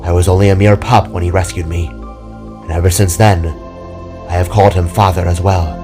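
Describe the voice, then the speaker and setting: Man as solemn motherly kitsune guardian, low-voiced. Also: fairly even intonation, moderate pace, music in the background, lightly acted. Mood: solemn, explanatory.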